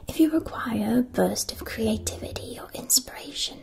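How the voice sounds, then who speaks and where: A woman playing a calm, attentive witch-supply shopkeeper in a scripted ASMR fantasy roleplay, speaking in a breathy whisper with deliberate, soothing enunciation. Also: on the high side. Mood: helpful, gently inviting. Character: calm, attentive female magic-shop keeper serving a witch student.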